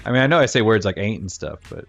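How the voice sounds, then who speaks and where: A man's voice: lowish voice, big pitch swings, quick pace, gravelly, fairly bright tone. A middle-aged man with a Virginian accent, chatting casually and rambling while he streams a game. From Virginia, USA. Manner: rambling, self-correcting, thinks aloud. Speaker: gaming streamer. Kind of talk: casual chat while livestreaming gameplay. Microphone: desk mic. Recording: dull, lo-fi stream mic with game music.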